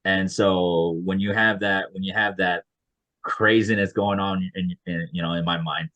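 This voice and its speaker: Deep-voiced man rambling on a call. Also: steady tone, unhurried, pushed and bright, lo-fi sound. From United States. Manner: rambling, frequent restarts, chuckles. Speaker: graduate student researcher. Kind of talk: casual panel chat.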